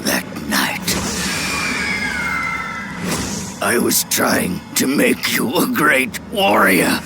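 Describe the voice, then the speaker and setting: An actor playing a menacing, mocking god of war in a video game, with a grandiose, taunting delivery. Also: male voice, lowish voice, very animated, takes it slowly, vocal fry, exaggerated voice. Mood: grave. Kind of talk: video game villain acting. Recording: loud music over the voice.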